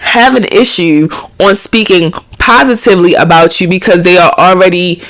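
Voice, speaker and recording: A woman hosting a casual advice talk, animated and preachy, sounding nasal from a cold. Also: lowish voice, normal speed. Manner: animated, preachy, acts out quoted friends. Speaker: host.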